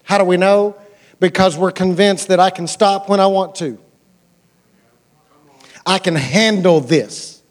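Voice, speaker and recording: A man's voice: high-pitched, measured pace, long pauses. An American man preaching a sermon as a pastor, conversational and colloquial, repeating phrases for emphasis and putting questions to his listeners.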